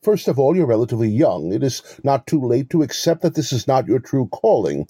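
A man acting in an audio play as a smug, pompous con artist, lecturing verbosely with mock courtesy in a husky voice. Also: medium pitch, very animated, a little fast, dark tone, no room sound, clean studio sound. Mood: condescending, mock-courteous. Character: smug, verbose male con artist posing as police lieutenant.

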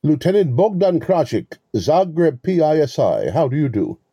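Smug, pompous male swindler for an audio play, played by a man: very dark, husky tone, swooping intonation, wordy mock courtesy. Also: fairly high pitch, big pitch swings, moderate pace, dry recording, heavily acted. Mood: scornful, commanding. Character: smug, verbose male con artist posing as police lieutenant. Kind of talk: two-person audio play acting.

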